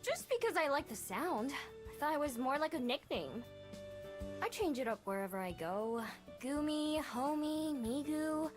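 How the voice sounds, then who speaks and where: A curious fox spirit lady in a visual novel, high voice, candid n wondering, music behind. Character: shape-shifting fox spirit woman, rootless, curious, candid.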